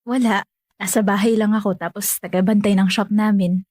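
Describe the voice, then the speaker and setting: A woman's voice: mid-range voice, fairly even intonation, normal speed, a little mellow, no echo, clean studio sound, natural voice. A young woman playing a resigned, dutiful family caretaker in natural radio drama dialogue, self-effacing and accommodating.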